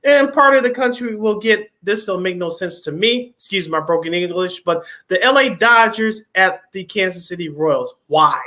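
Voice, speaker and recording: A man co-hosts a sports radio show into a studio mic, his voice high and bright. He moves fast through lists of games and throws in wry, offhand remarks along the way. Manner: reads schedules in quick runs, wry asides. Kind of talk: casual sports radio chat.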